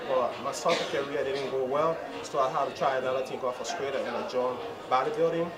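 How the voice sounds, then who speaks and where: A man's voice: mid-range voice, a little fast. Liberian-born young man, crowd all around. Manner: earnest, repeats himself, grows emphatic. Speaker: bodybuilding champion. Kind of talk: post-show interview. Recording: loud crowd at a contest venue.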